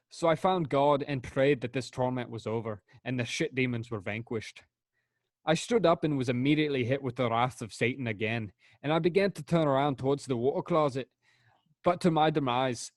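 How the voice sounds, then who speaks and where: A man's voice: mid-range voice, no room sound, studio quality, natural voice. A man reading an angry review in a put-on Scottish accent as a crude, furious father, ranting and swearing. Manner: profane, dramatic ranting read.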